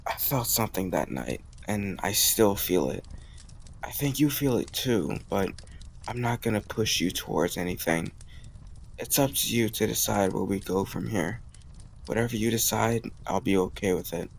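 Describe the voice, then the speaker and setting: A man acting as a smitten college guy with a low voice and plenty of gravelly fry. He talks in a teasing, confident, intimate way, as in a romantic audio roleplay. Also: lively intonation, normal speed. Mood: sincere, tender. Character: bold, teasing young male college student, smitten romantic.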